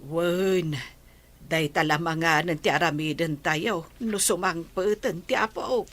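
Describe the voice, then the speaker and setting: A woman playing a gentle old grandmother. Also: lowish voice, takes it slowly. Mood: fond, soothing. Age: older. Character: gentle elderly grandmother, patient and soothing. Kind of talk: radio drama acting.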